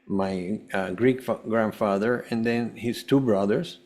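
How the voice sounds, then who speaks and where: Low-pitched man telling his story haltingly. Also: darkish tone. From Venezuela. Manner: halting, often repeats words. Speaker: podcast guest, holistic health coach. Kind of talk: personal storytelling in an interview.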